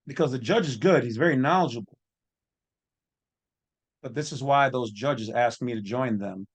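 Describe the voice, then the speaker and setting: A man's voice: medium pitch, moderate pace, breathy edge. A man delivering informal, off-the-cuff commentary as a channel host, with a colloquial, opinionated way of talking.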